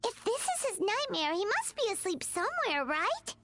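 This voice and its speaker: A woman voicing a tiny, excitable, anxious fairy companion with a squeaky childlike voice in a video game. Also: moderate pace. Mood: questioning. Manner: refers to herself in the third person, exclamatory. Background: background music.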